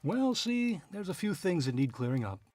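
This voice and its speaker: A man's voice: high-pitched, big pitch swings, moderate pace, a little mellow, no room sound, faint music behind. A man playing a shrewd, cynical private investigator in a radio drama, both narrating and questioning in a noir style. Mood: insinuating. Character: shrewd hard-boiled male private investigator, cynical and probing.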